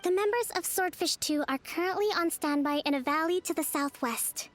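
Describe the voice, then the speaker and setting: A high, feminine voice for a game's resistance commander. She is composed and devoted, with formal, measured and articulate delivery. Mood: formal, encouraging. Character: composed, devoted female resistance army leader, addressed as Excellency. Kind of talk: scripted video game voice acting.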